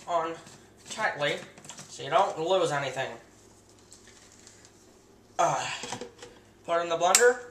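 Teen boy, deadpan mock cooking host, bright voice, echoey kitchen, camera a bit far off. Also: high voice, slight background noise. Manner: earnest instructional tone with absurd steps. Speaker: host of a home cooking parody. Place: home kitchen, indoors. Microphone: camera mic across the kitchen.

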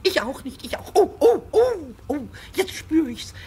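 An actor voicing a small, excitable kobold boy in a children's radio play, with stammering, pleading repetitions. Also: high voice, a little slow, a little hiss or hum. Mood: excited, hungry. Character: small contrite, excitable kobold imp, childlike male sprite.

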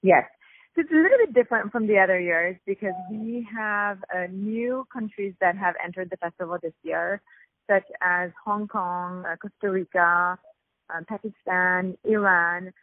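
A woman from Paris with a French accent, a festival founder explaining things in a measured way over the phone. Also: medium pitch, no room sound. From Paris, France. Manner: measured, explanatory, many fillers. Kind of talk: phone interview. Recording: tinny phone line, dry.

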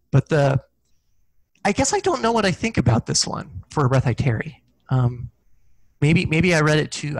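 A man's voice: mid-range voice, dry recording, low-quality recording. Hesitant man on a headset mic. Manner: hesitant, many ums and restarts, gestures with hands. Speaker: author guest. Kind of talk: casual video call interview. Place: small office with corkboard, indoors. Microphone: headset mic on video call.

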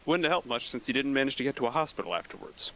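A man's voice: medium pitch, lively intonation, racing, bright, forceful tone, low-quality recording. A man playing a tabletop role-playing game, laying out his character's backstory with a wry, self-mocking tone. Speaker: player.

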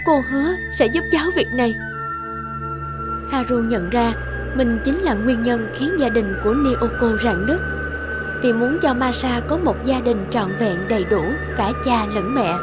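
A woman reading scripted narration for an animated story. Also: on the high side, a little slow. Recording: clear music behind the voice.

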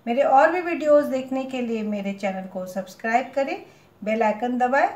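Calm woman cooking host in roomy kitchen. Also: fairly high pitch, normal speed, dull audio. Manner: calm, instructive, mixes English cooking words into Hindi. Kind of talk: step-by-step recipe narration.

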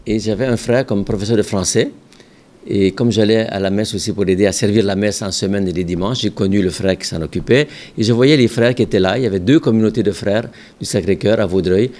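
An older man, a religious brother and school director from Ontario, Canada, calmly recalling his past in a measured, reflective way with some hesitations, seated at his office desk with a microphone in front. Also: lowish voice. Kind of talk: calm recollecting interview answers.

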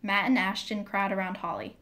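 Woman narrating with a mid-pitched voice. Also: steady tone, a little fast, a bit bright. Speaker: narrator reading stage directions. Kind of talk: scripted narration.

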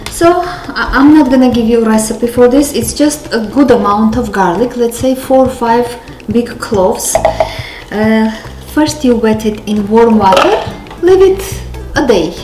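A woman's voice: on the high side, reverberant, noisy background. A woman in her thirties whose first language is Armenian, hosting a cooking show in a large home kitchen and chatting casually, correcting herself and laughing at herself as she cooks. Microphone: handheld camera close up, then camera on counter.

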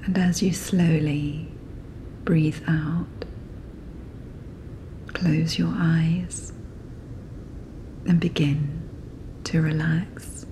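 A woman narrating a guided sleep meditation in a soft, breathy, whispery, soothing manner. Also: low voice, little pitch movement, extremely slow, pauses often, a little hiss or hum, poor audio.

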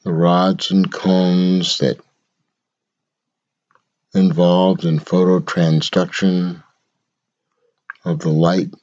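A man leading a guided meditation in a measured, instructional way, speaking in short phrases with long pauses. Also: sits low, flat delivery, a little mellow, dull audio.

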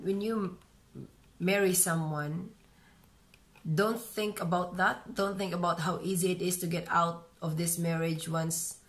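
Woman, 30s, Filipino accent, fairly low voice, relaxed home vlogger, chatty with fillers. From Philippines. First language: Visaya. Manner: chatty, many "you know" fillers and restarts. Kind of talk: casual vlog chat, reading comments. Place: bright room at home, indoors.

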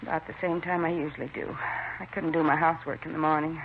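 Subdued middle-aged widow, 1950s radio crime drama; gravelly tone, faint hiss. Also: female voice, deep voice, level tone, fast talker. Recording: muffled, hissy 1950s radio recording.

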